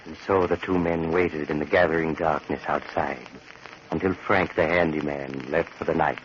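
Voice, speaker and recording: Sinister old horror host, very low voice, slow ominous delivery, 1940s radio drama, heavy noise. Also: male voice, fairly even intonation. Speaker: host and narrator of a horror anthology. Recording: noisy, muffled 1940s radio recording.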